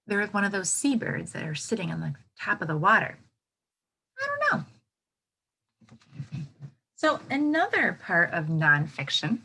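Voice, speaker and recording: A woman's voice: mid-range voice, gravelly, fairly bright tone, slightly rough. A woman reading a children's book aloud as a teacher, expressively, with simple explanatory asides for young listeners.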